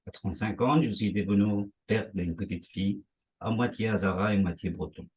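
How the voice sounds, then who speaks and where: Husky-voiced man reading in measured lines. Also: fairly low pitch, darkish tone. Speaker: panelist reading his life story. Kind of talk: reading a prepared text aloud.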